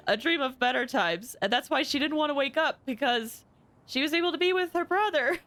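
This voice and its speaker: Higher-pitched expressive woman game streamer. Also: normal speed, dry recording. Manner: emotional, expressive, gushing reactions. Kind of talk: casual chatty game-stream commentary.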